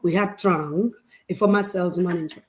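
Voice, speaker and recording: Woman from Nigeria, panelist, lowish voice, measured formal delivery. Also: steady tone. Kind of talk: video call panel talk.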